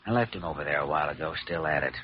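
A man's voice: rumbling low, very fast. An American man playing an earnest, determined and idealistic young doctor in a classic radio drama, with urgent, clipped delivery. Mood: concerned, reflective. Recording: dull, noisy old radio recording.

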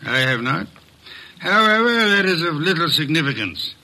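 An actor in a classic radio drama playing a pompous, unflappable, erudite middle-aged detective with formal, precise, dismissive diction. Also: male voice, on the high side, very animated, moderate pace, bright, forceful tone, exaggerated voice, rough recording. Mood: imperious, businesslike. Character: pompous, unflappable, erudite man who runs a detective practice. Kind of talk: 1940s-50s radio drama acting.

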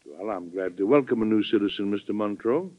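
An actor playing a dignified, fatherly president in a 1940s radio drama, speaking in a measured, earnest way. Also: on the low side, dry recording, natural voice. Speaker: host narrator. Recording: dull vintage radio recording with music.

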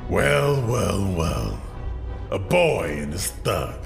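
A man's voice: sits low, sing-song, extremely slow, creaky, soft background music, exaggerated voice. An actor playing a scheming, menacing male villain who taunts with theatrical relish. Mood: taunting. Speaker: villain in audio drama.

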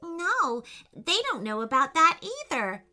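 A woman plays an anxious, innocent little girl from a children's storybook. The voice is very high and swings widely in pitch, with an earnest, pleading manner and faint music behind it. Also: very animated, unhurried, sharp tone, exaggerated voice. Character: young animal-loving girl, innocent, anxious and tearful.

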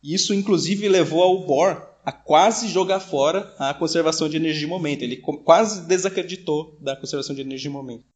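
Fast-talking man explaining physics. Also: on the high side, darkish tone. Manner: fast, many restarts and "né?" tags. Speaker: podcast co-host. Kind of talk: casual science explanation.

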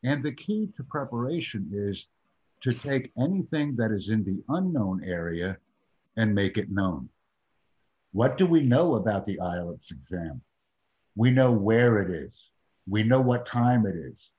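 Man, exam-prep teacher, husky, muffled lo-fi sound, measured, emphatic. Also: on the low side, big pitch swings, a little mellow. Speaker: IELTS teacher. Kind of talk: classroom instruction.

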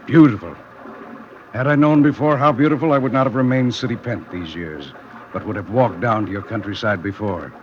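An actor playing a wry, poetic city man of forty on a hike, with measured, literary, theatrical delivery in old radio drama style. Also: lowish voice, close to an everyday voice. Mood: genial, wistful. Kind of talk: 1950s radio drama acting. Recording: muffled, noisy vintage radio recording.

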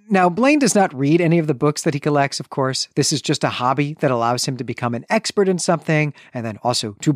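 Guy on a book podcast, mid-pitch, dry close mic, lots of uh and restarts. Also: dry recording. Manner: relaxed, thinking aloud with fillers and restarts. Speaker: podcast co-host. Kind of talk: casual literary discussion.

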